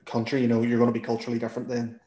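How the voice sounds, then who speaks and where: A man with a Northern Irish accent hosting a podcast and asking questions in a relaxed, conversational way. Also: mid-range voice, rapid-fire, reverberant. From Northern Ireland. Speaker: podcast host. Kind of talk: casual interview.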